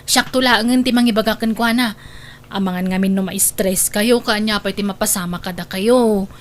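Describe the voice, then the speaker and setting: An actress with a Filipino accent playing a gossipy, sly housemaid who grumbles and wheedles in an audio comedy drama. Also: female voice, mid-range voice, fairly even intonation, moderate pace, a little hiss or hum, close to an everyday voice. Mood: wheedling. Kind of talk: Ilocano comedy radio-style drama acting.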